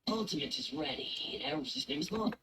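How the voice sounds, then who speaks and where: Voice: mid-range voice, expressive, a little fast, bright, forceful tone, a little hiss or hum. An actor voicing a video game system announcer, giving short, clipped, scripted alerts. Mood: announcing alerts.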